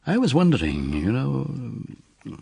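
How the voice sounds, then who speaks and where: An actor playing a gentlemanly, mild detective's colleague with a polite, hesitant manner, in a radio drama. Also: male voice, mid-range voice, huge pitch swings, takes it slowly, creaky, slightly rough, no room sound, exaggerated voice. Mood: awkward, hopeful. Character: gentlemanly, diffident male colleague of a lady detective.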